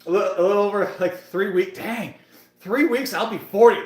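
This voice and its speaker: A man's voice: high voice, moderate pace. Chatty man in his thirties, echoey gym. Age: 30s. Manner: chatty, hyped, talks to viewers in the chat. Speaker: fitness livestream host. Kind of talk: casual live-stream chat while working out.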